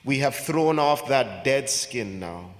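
A poet from Ghana, a man, reading his poems in a measured, deliberate way, with hesitant spoken asides between them. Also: mid-range voice, animated. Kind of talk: poetry reading with spoken introductions. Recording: long hall reverb.

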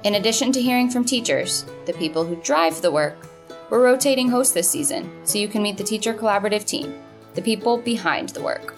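A woman reading a scripted podcast introduction at a middle pitch, smooth and even. Soft guitar music plays faintly beneath her. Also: fairly quick. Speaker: podcast season intro narrator. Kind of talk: scripted narration.

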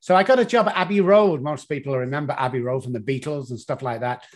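A middle-aged man with a Manchester accent, a guest telling his life story in an animated, rambling way with many fillers. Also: mid-range voice, fast. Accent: Mancunian English. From Manchester, England. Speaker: guest, addiction consultant. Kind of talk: conversational storytelling.

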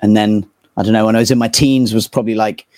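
Low-voiced southern English man, casual. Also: steady tone, fast talker, darkish tone, no room sound. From England. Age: 30s. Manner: informal, rambling, hesitant phrasing. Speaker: interview guest, metal band vocalist. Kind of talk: casual chat.

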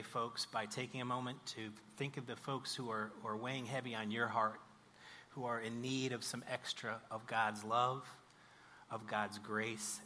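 Guy praying out loud in church, clear forceful voice, reverent, phrase by phrase, bit of noise behind him. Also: lowish voice. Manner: earnest, reverent, phrase-by-phrase delivery. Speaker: church prayer leader. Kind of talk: spoken congregational prayer. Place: church service. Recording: live church recording with background noise.

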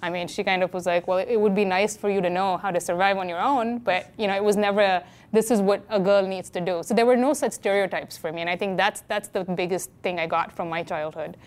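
A woman's voice: mid-range voice, steady tone. Reflective woman guest from India. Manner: measured, reflective, with fillers and restarts. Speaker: interview guest, city chief technology officer. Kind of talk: casual interview answers.

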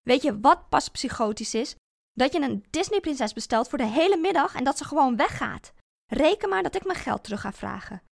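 A woman's voice: big pitch swings, moderate pace, vocal fry, no echo, clean studio sound, exaggerated voice. A woman voicing a frantic, desperate mother. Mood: furious. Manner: pleading, shrill, desperate. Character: frantic, unstable young mother clinging to her child. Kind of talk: audiobook character voice.

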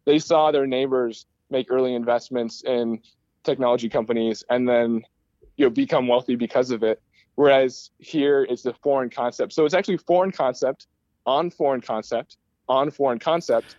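A man's voice: medium pitch, moderate pace, darkish tone, dry recording. American man explaining, dry dull recording. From United States, Michigan. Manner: rambling, enthusiastic explainer. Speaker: guest, aerospace start-up founder. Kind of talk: conversational interview.

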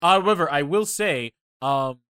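A man's voice: a little high, expressive, measured pace, pushed and bright, smooth, no echo. A man co-hosting a podcast, chatting casually about movies over a voice call. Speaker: podcast co-host. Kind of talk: casual film podcast chat. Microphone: voice call recording.

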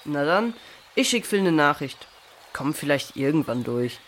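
A man's voice: fairly high pitch, very animated, unhurried, a bit bright, slight background noise. A man acting a casual young explorer in a children's audio drama, laid-back and mildly grumbling. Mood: casual, curious.

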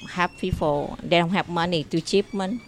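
A woman from Vietnam, a native Vietnamese speaker, answers interview questions slowly in simple, hesitant English. Her slightly low voice has a gravelly edge, and faint noise hums behind her. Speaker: interview guest.

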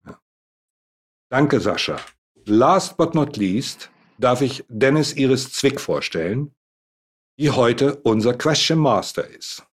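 Ein Mann, der als Gastgeber eine Online-Panel-Fragerunde moderiert und die Gäste förmlich und gemessen vorstellt. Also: male voice, mid-range voice, lively intonation, slightly rough. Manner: introduces guests in a measured, formal tone. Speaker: host.

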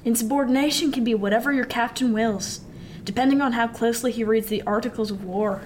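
A woman's voice: a little high, brisk, a little hiss or hum, close to an everyday voice. A woman playing a seasoned male merchant sailor in a radio drama, wry and confiding, with old-fashioned nautical speech. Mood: wry, explaining. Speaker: actor.

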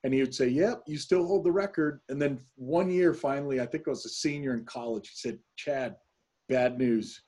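Male podcast interviewer, middle-aged, husky and mellow tone, conversational delivery. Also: mid-range voice, moderate pace.

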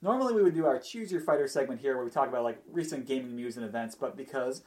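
A man hosting a casual podcast. His voice is middling in pitch, and he speaks conversationally as he steers the chat. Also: expressive, fast talker, darkish tone. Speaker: podcast host.

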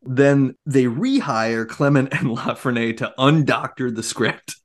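A man co-hosting a casual film discussion, retelling things in an animated way with sarcastic asides and mock quotes. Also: mid-range voice, measured pace. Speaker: podcast co-host.

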